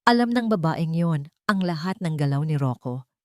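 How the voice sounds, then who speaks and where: Low-toned Filipino woman narrating, dry sound. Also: animated, moderate pace, warm tone, no echo. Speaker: audiobook narrator. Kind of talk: dramatic scripted story narration.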